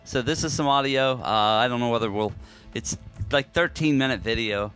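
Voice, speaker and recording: A man's voice: medium pitch, fairly quick, fairly bright tone, clean tone, faint music behind. An American man co-hosting a podcast, talking casually in an informal, musing way. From United States. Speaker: podcast co-host. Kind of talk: casual conversational podcast talk.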